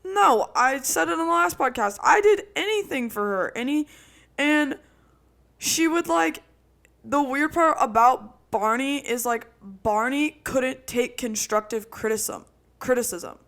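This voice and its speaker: A young woman co-hosting a podcast, telling a roommate story in casual chat with a loud, projecting voice and lots of "like". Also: high-pitched, a little slow. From Italian roots. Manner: animated storytelling with frequent "like". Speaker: podcast co-host.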